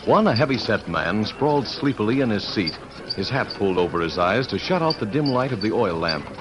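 Low, animated male radio narrator. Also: a little fast, background noise. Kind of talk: scripted old-time radio narration. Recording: old radio recording.

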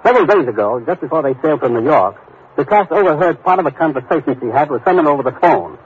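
An actor playing a brisk, authoritative commissioner in a 1950s radio drama, businesslike in his delivery. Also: a little high, expressive, lightly acted. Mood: serious. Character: brisk, authoritative male commissioner briefing an agent. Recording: tinny noisy old radio recording.

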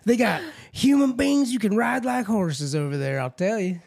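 A man's voice: high-pitched, normal speed, gravelly, a little mellow. A man doing a brash know-nothing yokel. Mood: boastful. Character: ignorant loud-mouthed American yokel man.

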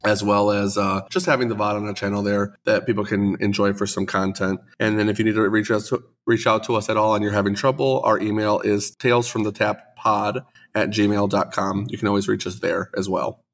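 A man's voice: lowish voice, flat delivery, a little fast. Congested man chatting on a podcast. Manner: chatty, enthusiastic, self-deprecating jokes. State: congested from the flu. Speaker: podcast co-host and store owner. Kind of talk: casual podcast chat.

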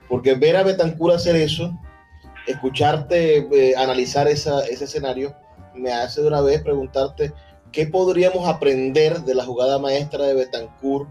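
Male event presenter, dark mellow tone, informal and halting, slightly dull sound over music. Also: medium pitch. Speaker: presenter moderating a book launch. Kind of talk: informal live moderating.

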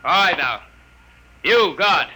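An actor playing an arrogant, cruel army lieutenant who barks contemptuous orders, in a 1950s radio drama. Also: male voice, high-pitched, lively intonation, slow pace, over music, poor audio. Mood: commanding.

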